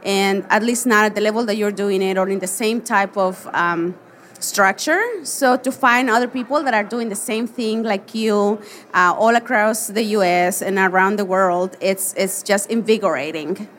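A woman's voice: mid-range voice, normal speed, a bit bright, slight background noise. A woman with a Spanish accent, a native Spanish speaker, talking earnestly as a guest in a casual interview. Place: busy convention floor.

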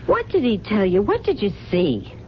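A woman's voice: mid-range voice, huge pitch swings, normal speed. An actress in a radio mystery drama playing a tough, lovestruck woman, blunt and emotional in her colloquial speech. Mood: questioning, wary. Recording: muffled, noisy 1970s radio broadcast.

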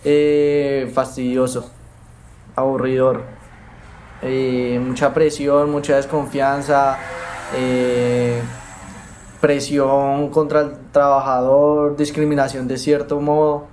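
A man from Bogotá with a Colombian accent, telling his story haltingly with many pauses, fillers and restarts. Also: mid-range voice, little pitch movement, a little hiss or hum. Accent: Bogotá Colombian Spanish. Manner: hesitant, many fillers and restarts, soft-spoken. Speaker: interviewee, migrant. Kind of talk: halting personal account.

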